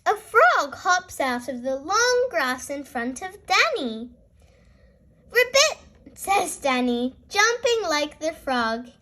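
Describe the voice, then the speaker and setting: A woman reading a picture book aloud to young children as host, expressive and deliberate in her delivery. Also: high-pitched. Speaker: storyteller, channel host.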